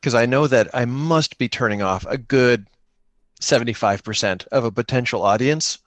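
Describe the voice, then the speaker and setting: Mid-pitched man chatting earnestly, dry sound. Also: moderate pace, dry recording. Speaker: podcaster and guest. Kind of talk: casual chat about gaming.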